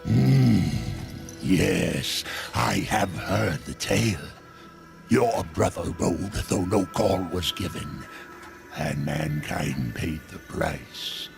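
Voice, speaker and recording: An actor playing a sly, ancient undead merchant in a fantasy video game, cryptic and falsely humble, with theatrical, drawn-out phrasing. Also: male voice, sits low, creaky, music in the background, full character voice. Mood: knowing.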